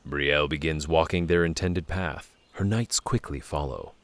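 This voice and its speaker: A man's voice: very deep voice, normal speed, no echo. A man reading scripted narration for a fantasy audio drama.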